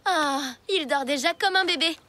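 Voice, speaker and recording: A woman's voice: high-pitched, moderate pace, bright, forceful tone, slight background noise. A woman voicing a sensible, matter-of-fact young girl in a French cartoon.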